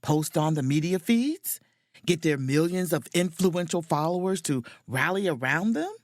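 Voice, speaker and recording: A woman's voice: lowish voice, expressive, normal speed, dry recording, studio quality, natural voice. An actress playing an inquisitive woman space officer in a romcom audio drama, speaking expressively. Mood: brisk, speculative. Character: woman space officer on holiday, keen amateur sleuth. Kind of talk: romcom audio drama dialogue and first-person narration.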